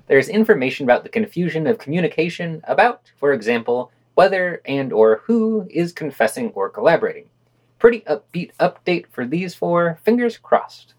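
A man with a somewhat high voice reads scripted news briefs as a podcast host. His intonation moves around in a lively way, and his brief commentary is wry. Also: normal speed.

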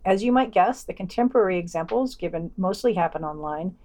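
A woman's voice: lowish voice, expressive, a little fast, warm tone. A woman presenting a podcast, reading scripted reviews and editorial commentary.

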